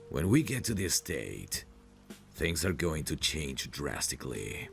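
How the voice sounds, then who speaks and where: Man acting a very deep, gravelly mafia boss. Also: heavily acted. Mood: firm, protective. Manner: slow, deliberate, self-assured, coaxing with pet names. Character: possessive, protective mafia boss, smooth-talking and menacing. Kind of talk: audio roleplay, intimate monologue to a listener.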